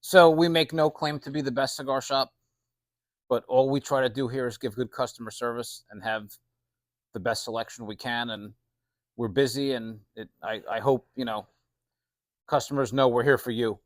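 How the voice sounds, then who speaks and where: A man in his late forties talks casually as an interview guest. His pitch is middling and the sound is dry and free of echo. He is plain-spoken, friendly and earnest, like a shop owner talking with a regular. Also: steady tone, normal speed. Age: late 40s. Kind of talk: casual chat.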